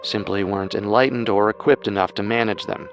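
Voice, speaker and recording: A man co-hosting a podcast, reading scripted true-crime narration in a measured storytelling manner. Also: fairly low pitch, steady tone, a little hiss or hum.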